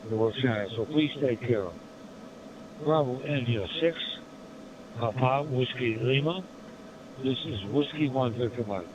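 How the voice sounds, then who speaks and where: A man's voice: mid-range voice, measured pace, long pauses. A man, a radio amateur keeping his turn short and polite over a radio contact. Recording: muffled noisy radio audio.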